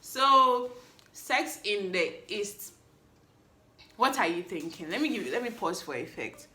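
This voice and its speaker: A woman's voice: medium pitch, lively intonation, measured pace, pushed and bright. A woman, hosting a solo podcast recap in a playful, chatty way that teases the listener. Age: 20s. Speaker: podcast host. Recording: echoey room.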